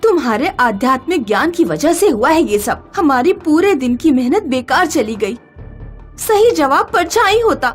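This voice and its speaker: Practical heroine of a magical tale woman acting: high, expressive, reproachful, music underneath. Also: normal speed. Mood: scolding. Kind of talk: children's animated story dialogue.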